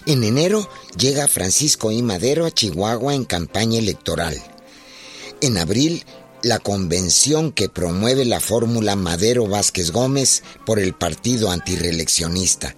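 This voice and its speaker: A man's voice: lowish voice, animated, a little slow. A Mexican man narrating a scripted historical radio drama as a solemn chronicler. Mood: solemn narration. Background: loud music.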